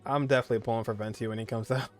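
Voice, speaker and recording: Mid-pitched man doing casual game commentary. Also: fairly even intonation, racing. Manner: informal, slangy. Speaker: gaming streamer. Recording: game music behind the voice. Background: video game music.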